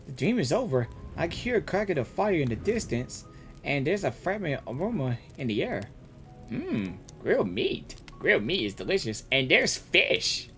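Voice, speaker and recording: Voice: mid-range voice, very animated, moderate pace, fairly bright tone, soft background music. A game streamer giving casual reaction commentary and reading dialogue aloud.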